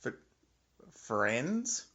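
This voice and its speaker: A woman playing a dim, soft-hearted male henchman in a parody audio drama, hesitant and rambling. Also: extremely low pitch, sing-song, extremely slow, full character voice. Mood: gentle, hesitant. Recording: dull, muffled home recording.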